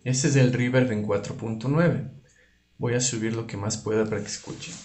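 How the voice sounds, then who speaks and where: A man giving an informal guitar effects tutorial, explaining things in a halting way with restarts. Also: mid-range voice, steady tone. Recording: roomy, reverberant room sound. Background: electric guitar playing.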